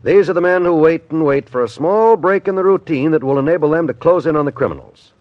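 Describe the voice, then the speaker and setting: A man's voice: a little high, expressive, fast talker, dry recording. A man with an American accent, a radio announcer reading network promos in 1950s style. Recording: dull, dry old radio recording.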